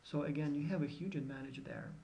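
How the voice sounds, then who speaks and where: Lecturer, man, slightly high voice, casual explaining style with fillers. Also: fast talker, dark tone, rough recording. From Ukraine. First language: Ukrainian. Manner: conversational, with frequent fillers and restarts. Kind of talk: recorded university lecture.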